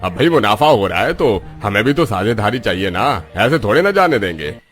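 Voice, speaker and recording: A man's voice: fairly low pitch, very animated, moderate pace, fairly bright tone. Man playing scheming greedy moneylender, music behind. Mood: sly, smug.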